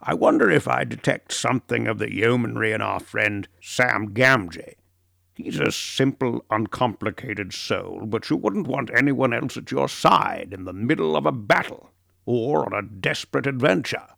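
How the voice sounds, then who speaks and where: A man voicing a thoughtful middle-aged professor who speaks in a measured, deliberate way. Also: mid-range voice, creaky, a bit bright, no room sound, studio quality. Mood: warm.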